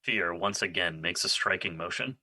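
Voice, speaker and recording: A man's voice: deep voice, moderate pace, bright, forceful tone, no echo. A man reading stage directions as scripted narration for an audio drama.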